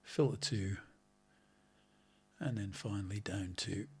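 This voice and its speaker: A middle-aged man, a bit hoarse from a cold, explaining synth settings in a relaxed tutorial, full of ums and restarts. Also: lowish voice, slow pace. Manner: relaxed, talks while clicking, many ums and restarts. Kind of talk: casual screen-recorded software tutorial. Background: faint synth music.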